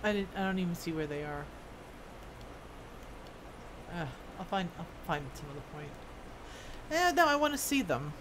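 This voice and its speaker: A woman's voice: fairly low pitch, animated, moderate pace, long pauses, background noise. A woman doing casual live gameplay commentary, musing half to herself. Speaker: game streamer.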